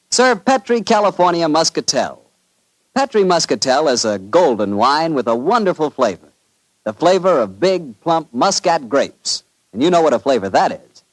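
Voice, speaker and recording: A man's voice: medium pitch, no room sound, poor audio. A man announcing for a 1940s radio sponsor with polished sales patter.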